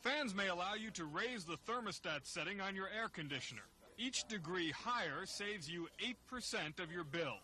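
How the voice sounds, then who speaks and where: A man's voice: high-pitched, lively intonation, moderate pace, sharp tone. An American man narrating a scripted television news report on an archival recording. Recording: archival TV audio with faint noise.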